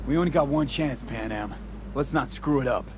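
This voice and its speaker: An actor in a video game playing a street-smart male mercenary, a terse, wry and steady partner. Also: mid-range voice, normal speed, noisy background, close to an everyday voice. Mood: focused. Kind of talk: video game cutscene acting.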